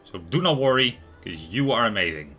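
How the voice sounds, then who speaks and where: A man hosting a livestream, giving casual, expressive, rambling game commentary addressed to his viewers. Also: on the low side, moderate pace, slightly rough, soft background music. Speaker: livestream host.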